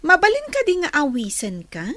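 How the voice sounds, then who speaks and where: Very high-pitched pouty Filipino schoolgirl. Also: wildly swooping pitch, moderate pace, fairly bright tone, dry recording, exaggerated voice. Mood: sweet, coaxing. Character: vain, lovestruck teenage schoolgirl with a pouty streak. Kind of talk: radio drama acting.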